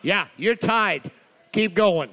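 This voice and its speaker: A man co-hosting a trivia night, throwing in absurd deadpan jokes and egging the teams on. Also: high-pitched, slow. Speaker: co-host. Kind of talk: joking banter.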